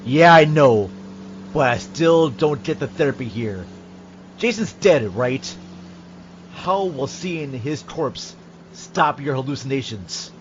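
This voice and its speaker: A man's voice: medium pitch, expressive, takes it slowly, a little hiss or hum. A man playing an exasperated, reluctant young sidekick in an amateur audio drama, emphatic and griping. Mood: doubtful, challenging. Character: nervy, exasperated young male pickup passenger, reluctant sidekick. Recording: dull, band-limited podcast audio.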